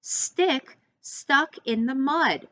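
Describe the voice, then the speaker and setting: A middle-aged American woman reading a picture book to young children as their teacher, expressively, with deliberate instructing asides. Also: sits high, a bit bright. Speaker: first-grade teacher. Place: small room indoors, seated at a desk.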